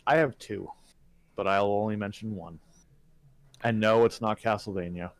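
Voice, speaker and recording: A man's voice: fairly low pitch, steady tone, normal speed, dry recording. Male podcast co-host, very dry sound. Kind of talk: casual podcast chat.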